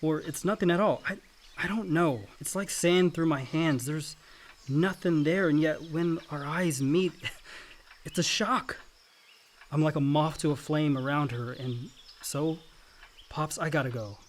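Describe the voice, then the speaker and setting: A man's voice: a little high, unhurried, a little hiss or hum, close to an everyday voice. A man acting an earnest, dutiful young adult son, the family peacemaker, in a naturalistic radio play. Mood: pained, confessional.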